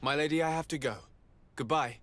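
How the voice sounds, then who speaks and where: An actor playing a diplomatic, measured and formal nobleman in a video game. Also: on the high side, a bit bright, close to an everyday voice. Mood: polite. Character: composed, diplomatic young noble envoy. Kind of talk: dramatic video game dialogue. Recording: faint outdoor ambient noise behind.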